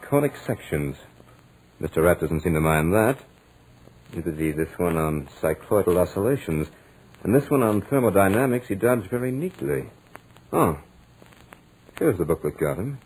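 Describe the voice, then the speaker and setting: An actor playing a studious, stubborn young lodger with dry humour, in measured, dramatic 1940s radio horror style. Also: male voice, low-pitched, big pitch swings, darkish tone, breathy edge, heavily acted, poor audio. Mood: wry. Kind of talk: 1940s radio horror acting, first-person narration. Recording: old radio drama recording with music.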